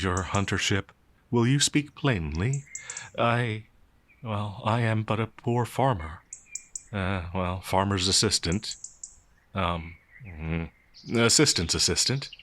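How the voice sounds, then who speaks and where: Man playing a timid, slow-talking gentle werewolf. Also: on the low side. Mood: nervous, confused. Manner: hesitant, stammering, meek and naively earnest. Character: timid, gentle, innocent male werewolf farmhand, older than he appears. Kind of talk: audio roleplay character acting.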